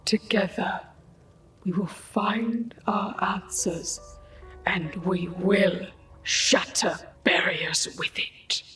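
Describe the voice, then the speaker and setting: An actress playing a mysterious, coolly persuasive immortal woman in a dark fantasy audio drama, speaking deliberately with hushed, breathy phrase endings. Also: mid-range voice, whispered, faint music behind. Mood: coolly alluring.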